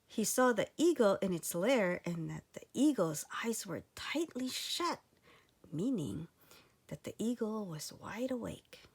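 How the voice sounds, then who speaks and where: A woman reading a children's story aloud in an expressive storytelling style. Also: medium pitch, slightly rough.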